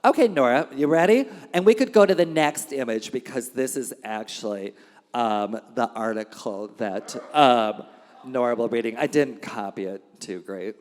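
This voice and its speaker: A man hosts a live event in a reverberant hall. He speaks casually, with a middling pitch, in halting, rambling introductions. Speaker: event host introducing readers.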